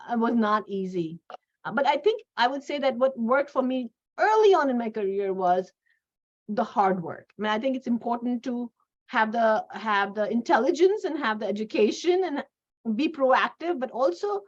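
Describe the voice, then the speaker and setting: A middle-aged woman hosting a conversation, sharing career advice in a halting way and repeating phrases for emphasis. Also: a little high. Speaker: host. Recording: dull lo-fi audio.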